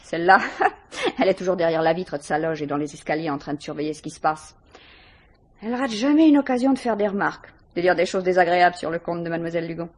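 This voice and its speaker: An actress playing a guarded, disdainful young student, curt and defensive, in a 1950s French radio mystery. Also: female voice, fairly low pitch, quick pace, close to an everyday voice.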